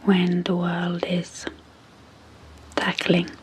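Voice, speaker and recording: A woman speaking softly and breathily in a gentle, measured ASMR sleep hypnosis style, leaving long pauses between short phrases. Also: on the low side, completely flat delivery, a little hiss or hum. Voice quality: soft, breathy, near-whispered. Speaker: psychologist hosting an ASMR channel. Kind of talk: soft-spoken ASMR sleep hypnosis introduction.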